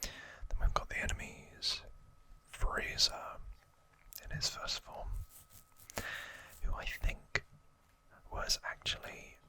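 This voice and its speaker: Whispering man, breathy ASMR host. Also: takes it slowly, long pauses, sharp tone, poor audio. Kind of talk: whispered ASMR, reading and commenting.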